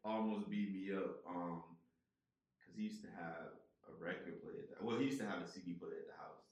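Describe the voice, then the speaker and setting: A young man co-hosting a podcast, telling stories in a lively, laughing way. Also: deep voice, fairly quick. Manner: animated storyteller, laughs often. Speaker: podcast co-host. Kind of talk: casual chat. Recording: very echoey room.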